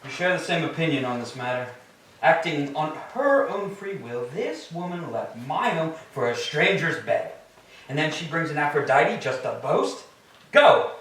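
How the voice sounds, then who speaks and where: A man's voice: fairly high pitch, very animated, moderate pace, fairly bright tone, slight background noise. A man playing a stern, scornful wronged Greek king in a staged tragedy reading, imperious and declamatory. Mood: indignant. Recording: very echoey hall, distant mic.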